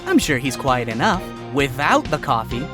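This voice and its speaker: Dude doing a smug fox lawyer, dry sarcastic jabs, voice swinging up and down, music clearly playing under. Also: male voice, medium pitch, very animated, normal speed, voice pushed down, close to an everyday voice. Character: smug sly male fox defense lawyer.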